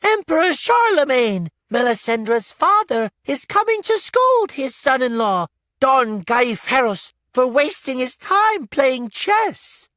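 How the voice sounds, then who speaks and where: Woman voicing an excitable, very high-pitched young boy. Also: takes it slowly, no room sound, clean studio sound, heavily acted. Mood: proud announcing. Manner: breathless, dramatic showman's delivery. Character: excitable young boy narrating a puppet show. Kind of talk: theatrical children's storybook read-aloud.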